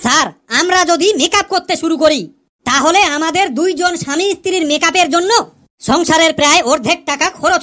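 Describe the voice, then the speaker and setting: A woman's voice: high voice, fairly bright tone. A woman voicing a cheeky male pupil in a comedy cartoon, explaining things to the teacher with mock earnestness. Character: cheeky male pupil Kalu, joking about wives and women.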